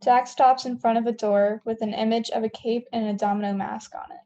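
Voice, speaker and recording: Female narrator for stage directions, mellow tone, slightly high voice, scripted read. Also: steady tone, a little fast, dull audio.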